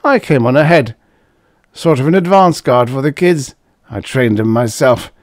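A man voicing a brash, tipsy young male drill leader who hiccups and barks orders, in a lively, loud way. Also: medium pitch, normal speed, no echo, studio quality, pitched above the performer's own voice, natural voice. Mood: tipsy, boastful. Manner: boastful, gruff barking orders.

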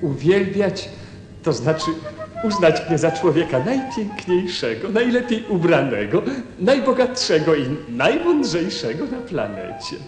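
A man's voice: on the high side, big pitch swings, unhurried. An actor playing a vain man hungry for admiration in a radio play, conceited, flamboyant and gushing. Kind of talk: theatrical radio play acting. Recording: echoey reverb, music clearly behind.

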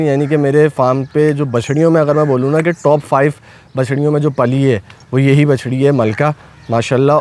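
A man's voice: medium pitch, darkish tone. A young man with a Pakistani Urdu accent, a cattle farm owner chatting casually and enthusiastically from behind the camera outdoors. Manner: enthusiastic, repeats blessings often. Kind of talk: casual vlog narration.

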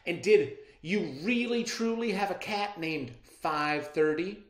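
A curious little farm boy from a storybook, done by a man. He sounds earnest and careful, slightly high, in a roomy space. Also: slow pace. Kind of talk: storybook character reading. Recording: roomy echo.

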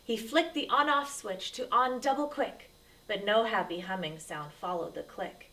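A woman reads a rhyming picture book aloud for children. Her delivery is dramatic and emphatic, at a medium pitch. She sounds a little distant from the microphone. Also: moderate pace, pushed and bright. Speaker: read-aloud storyteller.

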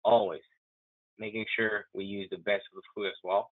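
Enthusiastic man demoing CAM software. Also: lowish voice, little pitch movement, moderate pace, no room sound. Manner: enthusiastic, chatty, many restarts. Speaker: software demonstrator. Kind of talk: live software demo walkthrough. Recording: tinny phone-like, dry audio.

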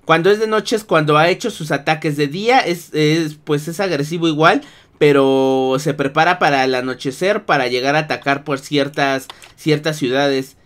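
A man playing an excitable child adventurer in an improvised Minecraft roleplay, urgent and dramatic. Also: on the high side, moderate pace, natural voice. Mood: ominous, explaining. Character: excitable child adventurer raising the alarm about a monster.

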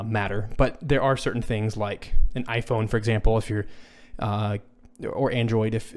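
A man in his thirties with a low, deep-set voice talks through viewer questions on a boom microphone in a small home studio. He thinks aloud as he goes, stopping to restart phrases and filling gaps with ums. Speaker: music composer answering viewer questions. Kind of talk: casual livestream Q&A. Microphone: boom studio microphone, headphones on.

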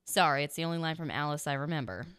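A sultry noir thief, acted by a woman, with a lowish voice. She is playful, flirtatious and teasing, in radio-drama style. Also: racing, a bit bright, clean tone.

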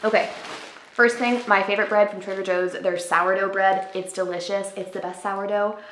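A woman's voice: mid-range voice, steady tone, moderate pace, a bit bright, echoey room, rough recording. A woman in her twenties chatting casually to camera in her living room, upbeat and full of "like" fillers, showing off groceries. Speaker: lifestyle vlogger. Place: living room at home, indoors.

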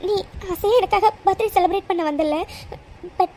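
A high, tear-choked young woman's voice, from an actress playing a vulnerable orphan in a romantic drama. She stumbles and repeats her words while crying. A faint hiss sits under the recording. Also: warm tone. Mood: tearful. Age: young adult. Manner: tearful, halting, repeats words.

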